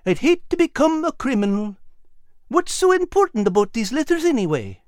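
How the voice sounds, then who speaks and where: A man's voice: very high voice, very animated, moderate pace, a little mellow, no room sound, studio quality, voice pushed up. A man voicing a chubby, earnest animal character in a children's story, talking in an eager, folksy way. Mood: relieved. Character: chubby, earnest, excitable male animal with a paw.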